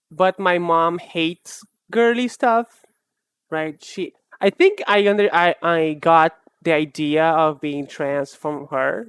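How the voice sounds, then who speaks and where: A young woman streamer chatting casually while she draws, rambling and self-mocking in a soft, low-key way. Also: clean tone, dry recording. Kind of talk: casual chat while drawing live.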